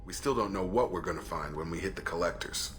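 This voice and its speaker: Game role: ship's officer, low voice, brisk, courteous and official. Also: male voice, fairly bright tone, slight background noise. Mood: formal, courteous. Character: composed, formal male human officer. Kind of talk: video game voice acting.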